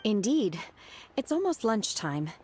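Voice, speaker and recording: A woman voicing a calm, blunt young disciple in a video game, speaking in a deadpan, plain way. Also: medium pitch, unhurried, heavy background noise.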